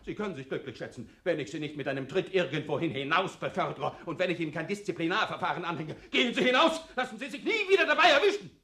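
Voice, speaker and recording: An irate male department head in a radio play, high-pitched and swooping wildly. He is contemptuous and blustering, with a hard, forceful edge as he bullies his underling. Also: animated, fast, few pauses. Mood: furious, insulting. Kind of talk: theatrical radio play tirade.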